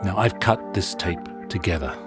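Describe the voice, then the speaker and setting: Deep-voiced man narrating over loud music. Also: lively intonation. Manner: measured, wry storytelling. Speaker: show host and narrator. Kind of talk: scripted documentary narration.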